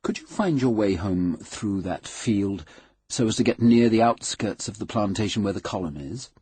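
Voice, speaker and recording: A man's voice: fairly low pitch, expressive, moderate pace, mellow, slightly rough, no room sound. A man voicing a reserved young aristocratic lady in a read audiobook, speaking in a cool, refined, understated way. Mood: cool, detached.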